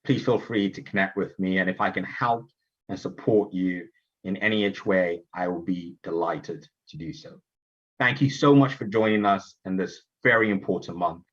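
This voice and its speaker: A man's voice: sits low, normal speed, a bit bright, dull audio. A man from Zimbabwe hosting a virtual summit welcome, speaking earnestly with fillers and restarts. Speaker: event host. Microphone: virtual conference stream.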